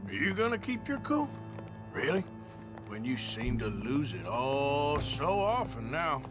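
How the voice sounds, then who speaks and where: A man's voice: medium pitch, big pitch swings, unhurried. An actor playing a sardonic, skeptical Wild West gunman in a video game, with a rural American accent and a dry, drawling delivery. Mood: doubting, grumbling. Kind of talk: dramatic video game dialogue. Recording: music behind the voice.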